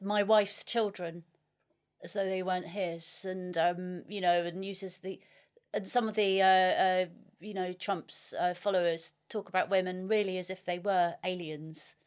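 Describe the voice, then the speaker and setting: A woman's voice: lowish voice, clear, resonant tone. A woman hosting a literary podcast, talking conversationally and putting questions to a guest. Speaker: podcast host, interviewer.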